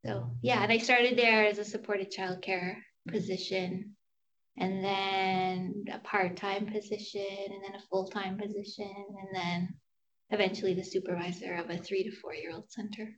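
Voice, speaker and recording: A woman's voice: medium pitch, little pitch movement, normal speed, fairly bright tone, slight background noise, rough recording. A woman who works as an early childhood educator and center supervisor, telling her own story in an easygoing, reflective way with light fillers. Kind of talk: casual personal story.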